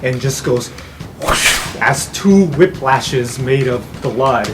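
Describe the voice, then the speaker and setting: A man's voice: mid-range voice, expressive, slow, background noise. A man improvising vivid, descriptive narration as game master of a tabletop fantasy game. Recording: music playing behind the voice. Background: music.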